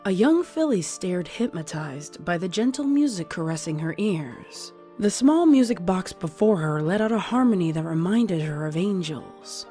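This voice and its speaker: A woman's voice: lowish voice, animated, moderate pace, hardly any pauses. A woman reading scripted audiobook narration as the storyteller. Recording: music playing behind the voice. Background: soft music.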